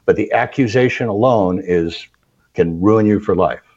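A man's voice: on the low side, moderate pace, darkish tone, breathy edge, muffled recording. A man answering interview questions as a crisis management consultant, earnest and emphatic with some stammered starts.

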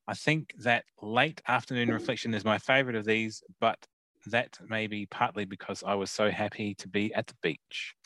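A man's voice: fairly low pitch, fairly even intonation, moderate pace, pushed and bright, dry recording, low-quality recording. Hesitant man co-hosting. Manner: hesitant, lots of ums, laughs easily. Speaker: podcast co-host. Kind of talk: casual chat describing photos.

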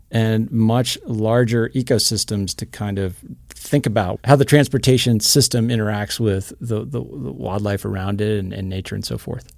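A man's voice: medium pitch, vocal fry, a little mellow, no room sound, studio quality. A man hosting an interview podcast, asking questions in a friendly, conversational way. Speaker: podcast host.

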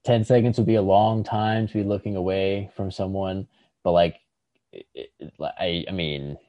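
A man co-hosting a podcast, reflecting casually and haltingly, circling back as he searches for the right words. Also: sits low, steady tone, no room sound, muffled recording. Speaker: podcast co-host.

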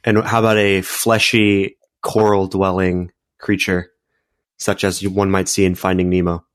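A man on a podcast panel, speaking earnestly and in a measured way, with frequent fillers. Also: deep voice, little pitch movement, dry recording. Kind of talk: reflective discussion.

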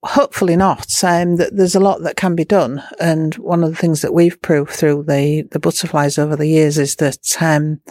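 A woman's voice: deep voice, steady tone, moderate pace, hardly any pauses, darkish tone, no room sound, clean studio sound. A woman charity manager answering interview questions in a plain-spoken way, with frequent fillers and restarts.

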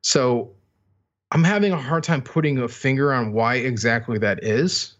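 A man's voice: medium pitch, normal speed, fairly bright tone. A middle-aged man co-hosting a podcast, casually talking music in a tentative, thinking-aloud way on a slightly lo-fi track. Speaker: podcast co-host.

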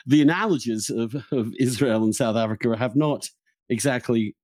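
Male podcast host, soft-edged mid-range voice, casual and thoughtful, halting delivery. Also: mid-range voice, no room sound, clean studio sound. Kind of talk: casual, thoughtful interview talk.

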